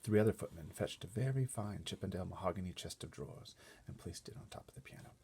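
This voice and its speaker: A man reading a children's book aloud in an expressive, often hushed way, adding casual explanatory asides. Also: deep voice, fast, husky. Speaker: storybook reader.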